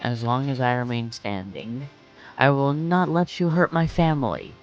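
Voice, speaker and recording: A man's voice: mid-range voice, moderate pace, lo-fi sound, natural voice. A man voicing a protective warrior mother in an amateur dramatic reading, with earnest, accusing defiance. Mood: defiant. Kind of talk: amateur dramatic reading of comic fan fiction. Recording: music under the voice.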